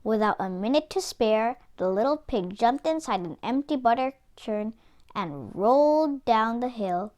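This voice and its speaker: A woman reading a children's story aloud as an expressive narrator. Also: on the high side, unhurried. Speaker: children's book reader.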